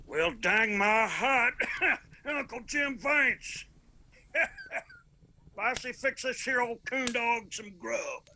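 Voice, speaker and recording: Lazy old Appalachian codger for a radio play: high, sharp, drawn-out delivery, comic and boisterous. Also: male voice, heavily acted. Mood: delighted, teasing. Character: cackling, lazy, jovial old mountain man. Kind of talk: radio drama acting.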